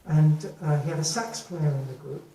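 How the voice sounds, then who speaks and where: An older man from England with a soft voice, chatting to a live audience between songs in an easygoing, rambling way, with plenty of ums. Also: fairly high pitch, normal speed. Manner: rambling, reminiscing, frequent ums. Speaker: singer-songwriter. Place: live venue. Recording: echoey live room from far off.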